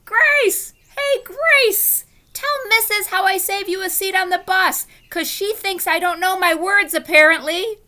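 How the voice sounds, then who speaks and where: A woman voicing a chatty, spunky kindergarten girl in a storybook read-aloud, eager and loud when excited. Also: mid-range voice, moderate pace.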